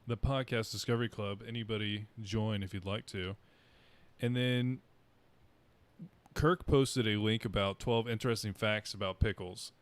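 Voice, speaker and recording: A man's voice: fairly low pitch, steady tone, moderate pace. A man co-hosting a casual podcast, chatting in an easygoing, upbeat way. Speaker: podcast co-host.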